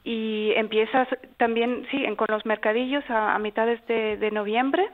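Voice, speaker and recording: Canarian spanish woman, phone line, slightly high voice, hesitant explaining. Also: fairly even intonation, unhurried. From Gran Canaria, Spain. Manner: hesitant, repeats words while explaining. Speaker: tourism office marketing guest. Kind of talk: phone interview. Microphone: telephone.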